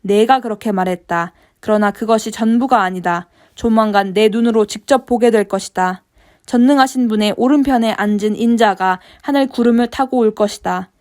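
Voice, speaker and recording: A woman reading the words of Jesus in a Bible passage, in her own voice, calm and measured. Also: on the high side, close to an everyday voice. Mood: grave. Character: Jesus, calm grown man, grave and firm. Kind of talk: scripture reading.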